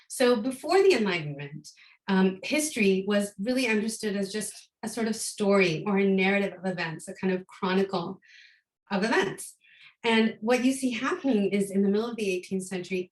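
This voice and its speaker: Hoarse woman lecturing with many ums. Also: mid-range voice, roomy sound, rough recording. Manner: thoughtful, with frequent ums and restarts. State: hoarse, recovering from a cold. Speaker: guest lecturer. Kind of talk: academic lecture.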